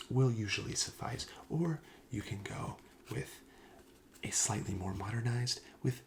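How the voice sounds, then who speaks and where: A soft-spoken ASMR host speaking gently, often near a whisper, in the polite, formal patter of a shop clerk. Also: sits low.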